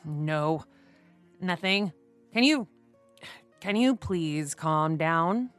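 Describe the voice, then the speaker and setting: A woman's voice: sits low, lively intonation, drawn-out words, smooth, soft background music, full character voice. A woman narrator voicing a flustered, haughty businessman who stammers and pleads in a story. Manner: hesitant, stammering.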